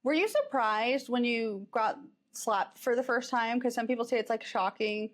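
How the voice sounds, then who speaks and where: A woman's voice: on the high side, expressive, moderate pace. A woman hosting a podcast, chatting casually as she interviews a guest.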